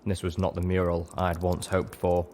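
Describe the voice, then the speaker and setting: A man reading a dramatic story as an audiobook narrator, measured, solemn and emotive. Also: low voice, monotone, warm tone, a little hiss or hum. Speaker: audiobook reader.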